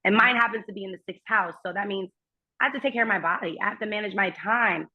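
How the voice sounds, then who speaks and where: A woman whose first language is German chats as a podcast guest. She speaks in long, earnest, personal runs at a middling pitch. The remote audio is muffled and lo-fi. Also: quick pace, breathy edge. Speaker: guest astrologer. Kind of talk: casual conversation. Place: remote, while traveling.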